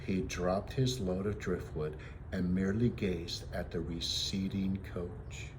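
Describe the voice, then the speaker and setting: A man with a fairly low voice reads a children's story aloud like a teacher. His narration is expressive, and there is faint noise in the recording. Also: measured pace.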